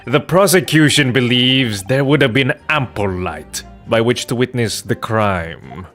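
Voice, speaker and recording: A man's voice: mid-range voice, expressive, unhurried, fairly bright tone, faint music behind. A man voicing a haughty, sardonic prosecutor in a Victorian courtroom video game, pompous, formal and condescending. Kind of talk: dramatic video game dub.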